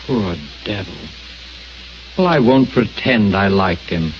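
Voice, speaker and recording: Brief: a grave, subdued man, low and lively, on a dull old radio recording. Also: slow, slight background noise. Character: weary, sober brother of the household. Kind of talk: 1940s radio acting. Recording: muffled old radio broadcast.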